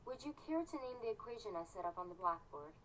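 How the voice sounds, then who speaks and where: A woman playing a stern, formal high school teacher in a visual novel, brisk and authoritative. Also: sing-song, a bit bright, recorded from a distance, a little hiss or hum.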